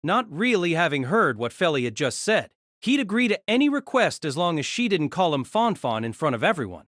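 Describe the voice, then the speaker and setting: A man narrating an audio drama in an expressive storytelling manner. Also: fairly high pitch, fairly quick, no echo, studio quality.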